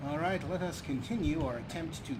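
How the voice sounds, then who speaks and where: A man's voice: on the high side, brisk, background noise. A man hosting a playful let's play, with a wry, mock-grandiose way of commenting. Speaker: gaming video host.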